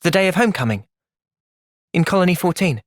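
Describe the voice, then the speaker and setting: An earnest young soldier hero from a video game, with an English accent and a close, dry sound. He is gentle and thoughtful, speaking with measured, soft seriousness. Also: high voice, animated, no echo. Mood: calm, resolute. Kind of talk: video game cutscene voice acting.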